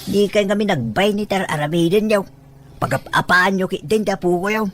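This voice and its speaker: A woman's voice: medium pitch, normal speed, natural voice. A woman with an Ilocano Filipino accent playing a reproachful family elder in an amateur audio drama.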